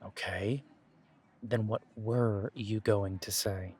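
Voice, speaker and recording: A man's voice: lowish voice, fairly even intonation, takes it slowly, a little mellow, husky. A man playing a caring, easygoing werewolf pack leader in an audio roleplay, talking in a casual, conversational way with trailing phrases. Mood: wry, calm.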